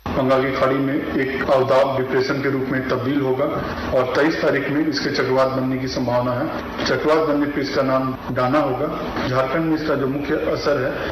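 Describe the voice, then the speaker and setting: A man, a weather department official, giving an explanatory forecast statement. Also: mid-range voice. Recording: very echoey room, clear background noise.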